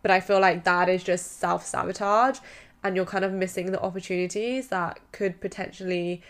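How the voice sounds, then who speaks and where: A young woman hosts a solo podcast in a chatty, encouraging way and leans on "like" as a filler. Her voice sits a little low for a woman. She sounds slightly stuffed up in the nose. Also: steady tone, normal speed. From United Kingdom. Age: 20s. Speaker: podcast host. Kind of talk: casual solo podcast advice.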